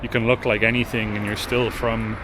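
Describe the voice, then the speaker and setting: A man's voice: lowish voice, level tone, a little fast. A man hosting a casual walking vlog on an outdoor city street, speaking bluntly and with emphatic indignation. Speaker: travel vlogger, host. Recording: street traffic noise behind.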